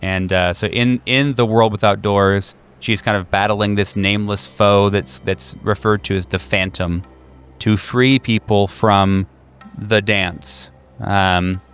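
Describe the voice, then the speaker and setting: A man narrating an improvised tabletop game as game master, in a conversational, halting way with repeated words. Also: fairly low pitch, fairly even intonation, fairly bright tone, clear, resonant tone, faint music behind.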